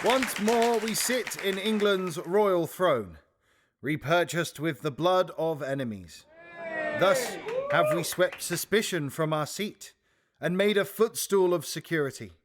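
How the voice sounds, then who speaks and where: A man's voice: high-pitched, expressive, a little slow, noisy background. An actor playing a triumphant, regal king declaiming to his court in a Shakespearean audio drama. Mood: triumphant, stately. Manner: declamatory, stately. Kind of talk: classical Shakespearean audio theatre.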